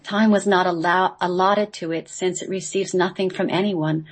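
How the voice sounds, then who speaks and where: Female guest reader with a lowish voice, reciting mystical scripted prose solemnly. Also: steady tone, normal speed. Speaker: guest reading a script she wrote.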